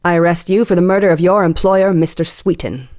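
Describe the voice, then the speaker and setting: A woman's voice: on the low side, fairly even intonation, a little mellow, no echo, clean studio sound, close to an everyday voice. A woman voicing a confident police inspector who lays out the solution firmly. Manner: firm, deliberate explaining.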